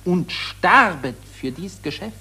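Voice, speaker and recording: An actor playing an impassioned satirist who accuses warmongers and summons the war dead, declaiming solemnly in 1940s German radio drama style. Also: male voice, sits high, expressive, takes it slowly, slightly rough, exaggerated voice. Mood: indignant accusation.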